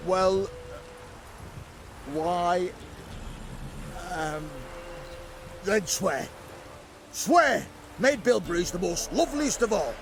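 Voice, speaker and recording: An actor playing a pompous, foolhardy, lovestruck knight in a video game, bombastic and theatrical with archaic phrasing. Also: male voice, sits high, expressive, drawn-out words, gravelly, long pauses, background noise, heavily acted. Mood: flustered, insistent. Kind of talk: comic video game acting.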